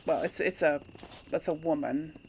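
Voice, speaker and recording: A woman's voice: sits low, moderate pace, a little mellow, a little hiss or hum. A woman giving casual, rambling video game commentary to her audience, chatting to the characters as she plays. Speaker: host of a video game let's play.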